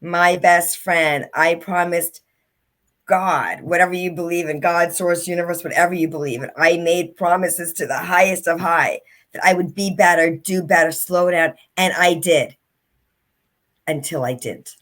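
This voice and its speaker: A woman's voice: on the low side, fairly even intonation, a little slow, a bit bright. A middle-aged woman, an author and speaker, telling her story as an interview guest in an earnest, reflective way.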